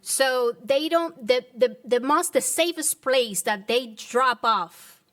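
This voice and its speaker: A woman from the Dominican Republic making a public comment at a government meeting, earnest and halting as she searches for words. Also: sits high, expressive, a bit bright. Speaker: resident speaking at public comment.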